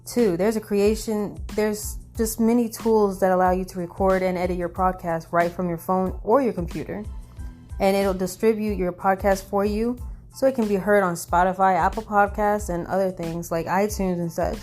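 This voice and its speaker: A woman's voice: medium pitch, fairly even intonation, moderate pace, darkish tone. Woman's informal sponsor read over music. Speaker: podcaster reading a sponsor message.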